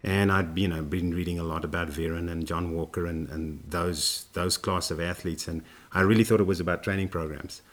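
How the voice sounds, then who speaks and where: Low-voiced guy rambling about coaching, lots of ums. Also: fairly even intonation, a little fast, hardly any pauses. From South Africa. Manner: rambling, many fillers and restarts. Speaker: running coach guest. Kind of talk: relaxed interview storytelling.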